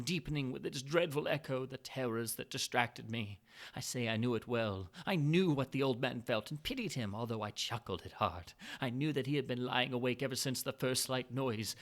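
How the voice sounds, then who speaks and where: A man narrating a gothic tale as a dramatic reading, moving between hushed whispers and frantic, feverish shouting. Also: on the high side. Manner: feverish, building intensity.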